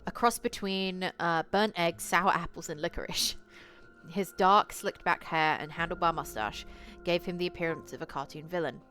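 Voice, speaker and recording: A woman's voice: on the low side, moderate pace, fairly bright tone. A woman game streamer reading a visual novel aloud and chatting to viewers, with casual swearing and playful asides. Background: eerie game music.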